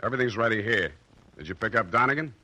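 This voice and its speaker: A man's voice: on the low side, lively intonation, fast talker, bright, forceful tone, no room sound, full character voice. An American actor playing a wisecracking, cocky male private detective in a wry, mock-pompous 1940s radio style. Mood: brisk, confiding.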